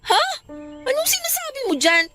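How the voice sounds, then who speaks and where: Radio drama role: preachy, studious young woman, high bright voice, earnest, over music. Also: sing-song, normal speed, heavily acted. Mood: hurt, admonishing. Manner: earnest, admonishing. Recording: music bed under the voice.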